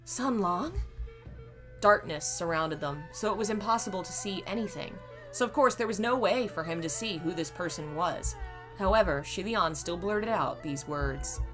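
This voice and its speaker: A hoarse woman reading a novel aloud on her channel, chatty and fond when she talks to viewers. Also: lowish voice, a bit bright. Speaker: reader and channel host.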